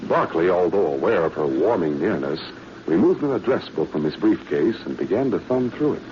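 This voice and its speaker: A man's voice: sits low, animated, brisk. A man narrating a scripted 1940s radio adventure with dramatic storytelling. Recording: dull, faintly noisy vintage radio recording.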